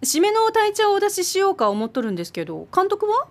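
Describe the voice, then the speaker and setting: A woman's voice: very high-pitched, wildly swooping pitch, fast, exaggerated voice. An actress playing a caring, fussing middle-aged guesthouse landlady with a Mikawa dialect from Aichi, in a radio drama. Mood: hospitable. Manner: solicitous, gently scolding. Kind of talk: modern radio drama acting.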